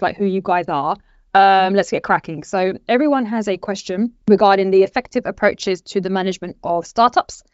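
A woman's voice: mid-range voice, dry recording. A woman hosting a panel podcast, introducing guests with upbeat, brief affirmations. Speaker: podcast host. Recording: dull dry sound, faint music.

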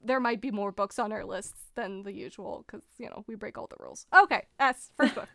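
A woman's voice: medium pitch, fairly quick, creaky, sharp tone, no room sound, studio quality. A woman co-hosting a casual podcast, chatty and laughing often, sounding congested from a cold. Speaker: podcast co-host.

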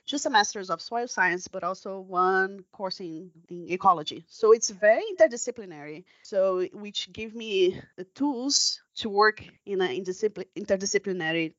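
A woman's voice: mid-range voice, moderate pace, hardly any pauses, fairly bright tone, dry recording. Female podcast guest, Brazilian accent, hesitant conversational delivery, dull close-dry lo-fi recording. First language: Brazilian Portuguese. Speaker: guest scientist.

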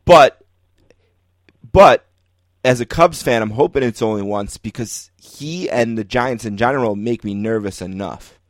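A man's voice: medium pitch, unhurried, dry recording, studio quality. A man hosting a sports podcast, talking casually as he interviews a guest.

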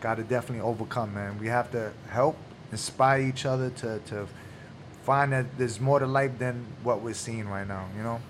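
Animated New guy chatting. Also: medium pitch, moderate pace, a little hiss or hum. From New York City. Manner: animated, loose street slang. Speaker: podcast co-host. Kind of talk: casual chat.

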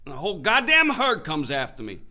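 A man's voice: fairly high pitch, huge pitch swings, a little fast, heavily acted. An actor playing a brash, irate, blustering male showbiz agent in a satirical audio drama. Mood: indignant. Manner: loud, indignant, blustering.

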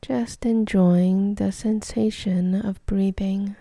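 Female meditation guide: very mellow, relaxed tone with gravelly creak, soft and breathy, soothing short phrases. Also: mid-range voice, slow pace, no room sound, clean studio sound.

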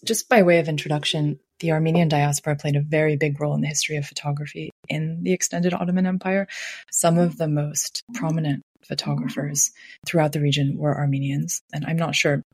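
A woman curator answering interview questions in a measured, explanatory way, often saying "sort of". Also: low-pitched, little pitch movement, darkish tone, breathy edge, dry recording. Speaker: curator, guest.

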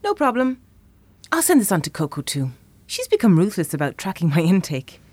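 A woman's voice: medium pitch, wildly swooping pitch, a little fast, no room sound. An actress playing a teasing, affectionate girlfriend in an audio drama. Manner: teasing, warm. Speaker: chocolatier girlfriend. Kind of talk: radio drama acting.

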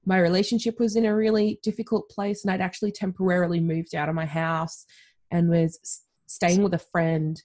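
A woman's voice: fairly low pitch, little pitch movement, brisk, a little mellow. A woman with an Australian accent, a podcast guest telling her story in a chatty, candid way and laughing often. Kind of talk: conversational storytelling.

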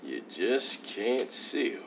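A man's voice: lowish voice, big pitch swings, takes it slowly, gravelly, a bit bright, heavily acted. An actor playing a vain, condescending leading man of old-time radio, pompous and theatrical. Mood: avuncular. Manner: pompous, theatrical, swooping emphasis. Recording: dull lo-fi sound, faint noise.

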